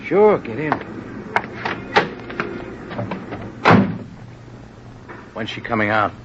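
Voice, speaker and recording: An actor playing a loyal, blunt cab driver in an old radio drama, stubborn and protective. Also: male voice, medium pitch, big pitch swings, fairly quick, lightly acted. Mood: bitter. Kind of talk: 1940s radio acting. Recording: hissy lo-fi old radio recording.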